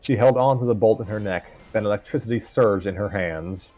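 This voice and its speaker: A man's voice: lowish voice, a little fast, warm tone, faint music behind. A man reading a fan story aloud on a livestream, casually, with stumbles and asides.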